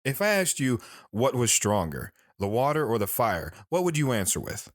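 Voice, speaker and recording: World-weary male drifter, played by a man for audio drama: mid-pitched, dry recording, earnest, philosophical, deliberate. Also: big pitch swings, no echo, lightly acted. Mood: brooding. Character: lone wandering man, world-weary, philosophical, sorrowful storyteller.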